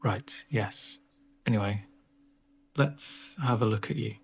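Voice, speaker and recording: Soft-spoken man, ASMR doctor roleplay, gravelly fry, unhurried, gentle and reassuring. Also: lowish voice, a little hiss or hum. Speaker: ASMR performer playing a doctor.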